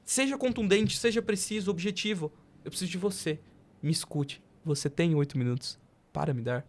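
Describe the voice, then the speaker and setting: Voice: mid-range voice, brisk. A speaker with a Brazilian Portuguese accent in casual podcast conversation, emphatic and slangy, fond of rhetorical questions.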